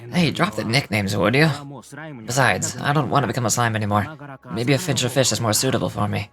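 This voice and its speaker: A man's voice: lowish voice, a little fast, gravelly, music in the background. An actor playing a discontented but earnest middle-aged workman in a game, hesitant and plainspoken. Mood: glum, hesitant. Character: weary working family man, discontented but earnest. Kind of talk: scripted game character dialogue.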